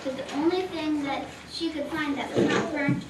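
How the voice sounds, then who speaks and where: A woman's voice: high-pitched, steady tone, normal speed, over a noisy crowd. A woman narrating a children's storybook tale for a live puppet show. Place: live stage in a roomy hall, far mic. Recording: distant, echoey, noisy live recording.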